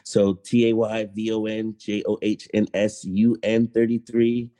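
A young man, a musician guest on a podcast, speaking in a relaxed, matter-of-fact way. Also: on the low side, measured pace. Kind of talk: casual interview chat.

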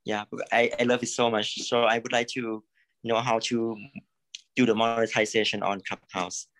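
A man from Thailand, a creative consultant, speaking in a halting way, repeating words and saying "you know" often. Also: mid-range voice, little pitch movement, fairly bright tone, rough recording. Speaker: coaching client, creative consultant. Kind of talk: live coaching session.

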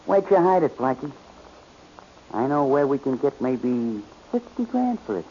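A man's voice: mid-range voice, very animated, measured pace. An actor playing a greedy, menacing male henchman, talking tough and threatening in 1940s radio crime style. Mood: scheming. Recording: muffled, noisy old radio recording.

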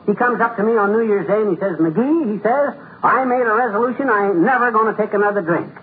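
An actor playing a folksy, wisecracking middle-aged American husband in a 1950s radio comedy, grumbling and sardonic. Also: male voice, high voice, lively intonation, fast. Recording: tinny, noisy old radio broadcast.

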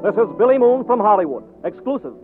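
An excitable Hollywood gossip columnist, very high and fast, punching out breathless hype. It is an old radio drama bulletin, muffled, with music behind. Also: male voice. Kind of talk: 1940s radio news bulletin acting. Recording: muffled 1940s radio recording.

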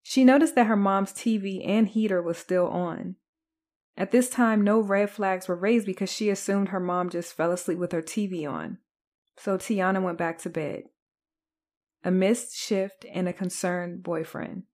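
A woman's voice: mid-range voice, fairly even intonation, measured pace, a little mellow. Woman narrating a true crime podcast. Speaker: podcast host.

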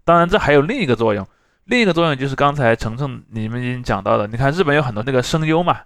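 A man speaks quickly in a dry recording. He hosts conversationally, unfolding explanations in long discursive runs and checking in for agreement as he goes. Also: medium pitch, animated, a bit bright, dry recording. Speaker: podcast host.

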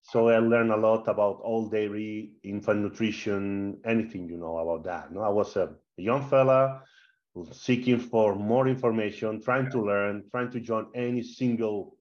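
A middle-aged Spanish-accented man with a lowish voice tells stories as an interview guest, animated and full of fillers and restarts. His audio sounds dull and a little lo-fi. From Spain. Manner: animated, with frequent fillers and repeated words. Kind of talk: casual interview storytelling.

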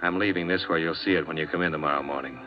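An American actor playing a weary, wry insurance investigator in a 1950s radio drama, speaking in a terse, dry way. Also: male voice, rumbling low, fairly even intonation, very fast, faint music behind. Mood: weary, bitter. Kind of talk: 1950s radio drama acting with first-person narration. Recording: dull vintage 1950s radio recording.

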